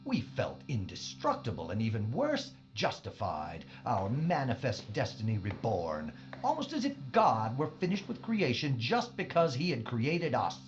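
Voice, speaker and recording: An actor playing a fanatical, grandiose mad scientist villain who monologues theatrically in a science fiction audio drama, with a dull recording. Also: male voice, medium pitch, huge pitch swings, a little slow, few pauses, a little hiss or hum. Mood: grandiose, fervent. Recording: dull band-limited sound.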